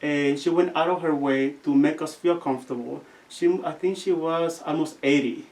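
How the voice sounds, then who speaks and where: Halting Guatemalan-accented man, off-mic. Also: on the high side, a little mellow. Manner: halting, searching for words. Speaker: seminarian guest. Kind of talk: casual interview storytelling. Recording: distant from the mic.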